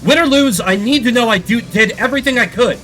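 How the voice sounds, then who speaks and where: A man's voice: extremely high pitch, fast, a bit bright, voice pushed up. A man voicing a determined young woman jet pilot who talks in a stubborn, defiant way, over game music.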